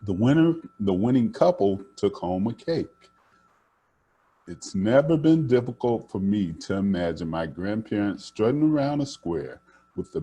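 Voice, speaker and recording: An older man reading aloud to children in an expressive, animated way, sometimes stumbling and rereading a phrase. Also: lowish voice, slightly rough. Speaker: storytime host reading picture books.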